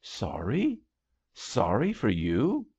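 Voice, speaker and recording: An impetuous young man in love, acted out by a male reader in a storybook romance. He speaks fervently, with raised, swooping pitch and a creaky edge. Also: huge pitch swings, very slow, darkish tone, highs cut off, full character voice. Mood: excited, proud. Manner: fervent, emphatic declarations.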